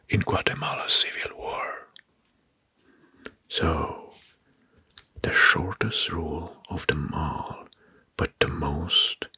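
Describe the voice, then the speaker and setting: A man narrating facts as an ASMR host in a hushed, breathy whisper, deliberately repeating phrases. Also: low voice. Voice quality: breathy, mostly unvoiced whisper.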